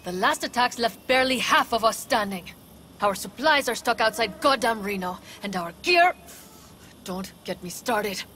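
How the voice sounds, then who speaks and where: A woman's voice: on the high side, expressive, normal speed, bright, forceful tone, slight background noise. An actress playing a skeptical, exasperated nomad woman in a video game.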